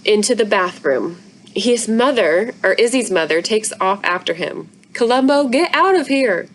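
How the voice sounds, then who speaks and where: A woman's voice: medium pitch, a little hiss or hum. A woman reading a children's book aloud expressively, as a story-time narrator. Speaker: library story-time reader.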